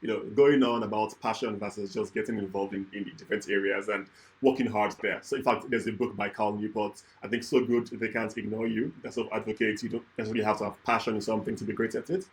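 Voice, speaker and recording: A man's voice: lowish voice, flat delivery, fast talker, low-quality recording. A man hosting an interview, asking long, hesitant questions with "um" and "sort of" hedges. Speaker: host.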